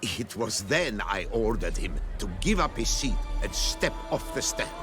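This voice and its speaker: A very lively, haughty count. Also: male voice, on the low side, unhurried, over music. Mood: smug, chatty. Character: genteel, boastful nobleman count, chatty and self-satisfied. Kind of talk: video game dialogue.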